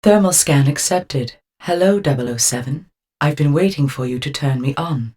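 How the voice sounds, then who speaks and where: A woman voicing a poised, flirtatious car computer in a spy video game, giving measured automated announcements. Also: low-pitched, darkish tone, breathy edge. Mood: cool, measured.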